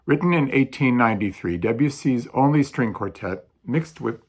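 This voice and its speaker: A man's voice: mid-range voice, animated, moderate pace, husky. A man hosting a concert, introducing the music informally with hesitant, rambling asides. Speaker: concert host.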